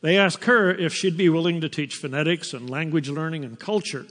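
An older man, a missionary teacher, giving a slow, unhurried personal testimony to a church. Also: on the high side, a bit bright. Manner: slow, unhurried storytelling with pauses. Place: church sanctuary. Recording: long reverb of a large hall.

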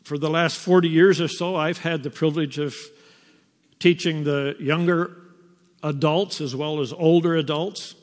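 An older man speaking in a slightly high voice, sharing his missionary life in an unhurried storytelling way. His words linger in the long reverb of a large church hall. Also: steady tone. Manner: slow, unhurried storytelling with pauses. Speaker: missionary teacher. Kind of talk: personal testimony to a church. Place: church sanctuary.

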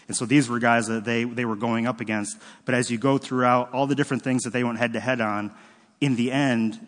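A man preaching a sermon in a relaxed, conversational way, often stopping to correct himself and using fillers. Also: mid-range voice, long reverb tail. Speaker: pastor. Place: church sanctuary.

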